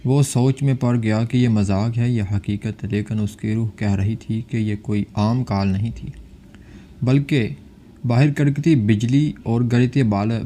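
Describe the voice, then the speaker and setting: A man's voice: fairly low pitch, mellow, slight background noise. A man reading a scripted suspense story as its narrator.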